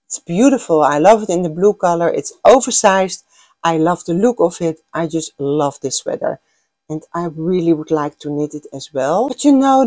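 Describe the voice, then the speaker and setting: A woman's voice: on the low side, a little mellow, no room sound. An older Dutch woman, a native Dutch speaker with a Dutch accent, hosting a knitting vlog in a chatty, gushing way with many fillers and restarts. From Netherlands. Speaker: knitting podcast host. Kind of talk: casual chat.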